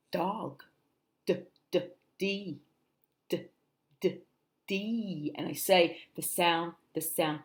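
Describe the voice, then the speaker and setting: A middle-aged woman from New Jersey with a softened Jersey accent, teaching phonics in a livestream and sounding out letters while checking in with "okay?". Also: sits low. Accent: New Jersey, softened toward standard American. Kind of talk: livestream phonics lesson for parents.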